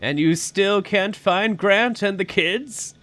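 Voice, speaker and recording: A man's voice: sits high, slow pace, a bit bright. A man playing a concerned, questioning scientist in a fan audio drama.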